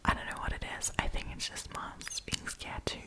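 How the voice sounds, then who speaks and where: Woman, whispered voice, ASMR storytime host, casual, chatty, giggly. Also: normal speed.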